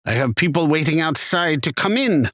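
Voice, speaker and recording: An actor voicing a chatty, wry male shopkeeper in a video game, with a theatrical, deliberate sales patter. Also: fairly high pitch, animated, a bit bright, no echo, clean studio sound. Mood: annoyed, impatient. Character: chatty male shopkeeper, wry, easily annoyed arms trader. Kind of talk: scripted video game character lines.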